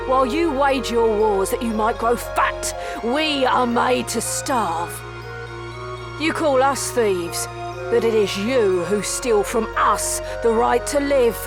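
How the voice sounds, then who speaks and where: Defiant rebel woman, high and deliberate. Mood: bitter defiance. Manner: archaic, impassioned oratory. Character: proud, defiant young female rebel fighter. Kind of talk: dramatic fantasy game voice acting. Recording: game clip under loud music.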